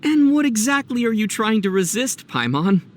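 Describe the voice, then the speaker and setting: A man voicing a young male traveler in a video game, calm in temper, dry and gently teasing. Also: high voice, moderate pace. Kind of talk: animated video game voice acting.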